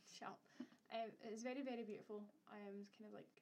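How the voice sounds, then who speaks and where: A woman's voice: medium pitch, steady tone, fast, background noise. A young woman co-hosting a podcast in casual, blunt chat, swearing freely and laughing often. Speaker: podcast co-host.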